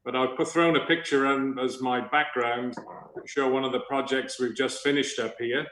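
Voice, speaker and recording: A man's voice: mid-range voice, fairly even intonation, moderate pace, fairly bright tone, reverberant, low-quality recording. A man on a video call from his kitchen, asking polite, good-humoured questions. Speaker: radio club member on the call. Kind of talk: casual video call chat. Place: home kitchen.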